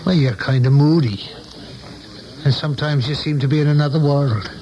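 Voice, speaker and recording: A man's voice: a little high, moderate pace, dark tone, a little hiss or hum, natural voice. Man playing a folksy old barkeep, dull radio sound. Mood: folksy, probing. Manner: folksy, colloquial, philosophical. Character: older male saloon keeper, folksy, shrewd, loyal friend. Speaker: actor in a radio play. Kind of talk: 1970s radio drama acting. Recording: dull vintage radio broadcast, music behind.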